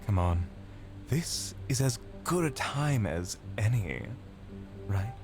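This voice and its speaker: A man's voice: on the low side, big pitch swings, takes it slowly, over music, exaggerated voice. A man voicing a sly, evil phantom who coaxes and taunts in an audio drama. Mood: sly coaxing. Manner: taunting, coaxing, leisurely. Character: sly, sinister demonic phantom man, coaxing toward murder.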